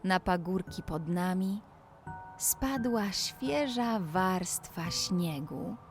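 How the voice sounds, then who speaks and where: Slow-paced woman narrating a children's story. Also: on the low side, expressive. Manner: slow, deliberate, addressing the child listener directly. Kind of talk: scripted bedtime story narration, guided imagery. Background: music.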